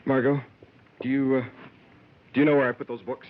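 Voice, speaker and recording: An actor playing a polite, controlling husband, solicitous and measured, in a vintage radio drama. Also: male voice, medium pitch, flat delivery, natural voice. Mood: pointed, smooth. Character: genteel, controlling husband, solicitous toward his wife. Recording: muffled, faintly noisy old radio broadcast.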